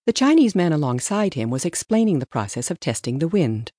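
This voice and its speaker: Low-pitched woman narrating a story. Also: big pitch swings, fairly quick, mellow, no room sound. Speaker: audiobook narrator.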